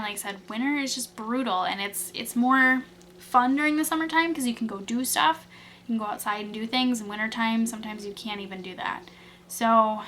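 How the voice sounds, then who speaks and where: A woman's voice: fairly high pitch, fairly bright tone, a little hiss or hum. Rambling young Midwestern woman vlogger. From Wisconsin, USA. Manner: rambling, hesitant, many fillers and restarts. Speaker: beauty vlogger. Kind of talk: casual chat answering tag questions.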